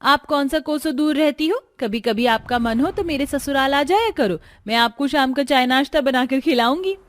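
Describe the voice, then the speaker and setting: Woman voicing a high, eager young wife. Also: normal speed, close to an everyday voice. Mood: cheerful, eager. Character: chatty, affectionate young married woman, eager hostess. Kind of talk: Hindi moral-story cartoon dialogue.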